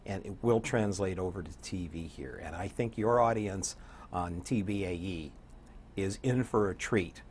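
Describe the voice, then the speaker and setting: An older man around seventy, a radio DJ chatting as an interview guest in a folksy, wry way with a husky voice. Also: deep voice, unhurried, a little hiss or hum. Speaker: guest, radio program director and DJ. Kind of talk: casual interview chat. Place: TV studio set, seated.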